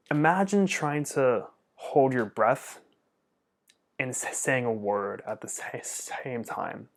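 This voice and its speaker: Young adult male with a frequent stutter; relaxed, conversational delivery, middle pitch. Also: creaky. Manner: stutters often, with repeated first sounds. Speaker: speech pathologist answering viewer questions. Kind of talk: casual Q&A monologue.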